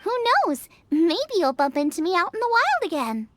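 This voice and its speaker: A woman's voice: very animated, moderate pace. A woman voicing a cheerful, generous young girl chef in a video game, with a girlish, eager and encouraging delivery. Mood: warm, breezy. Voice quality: girlish, high-pitched. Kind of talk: English video game voice acting. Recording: music playing behind the voice.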